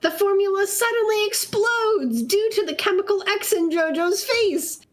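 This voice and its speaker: A woman's voice: very high-pitched, animated, moderate pace. A woman narrating fan fiction aloud for laughs in an amused, theatrical storytelling way. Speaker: narrator in a comedy reading group.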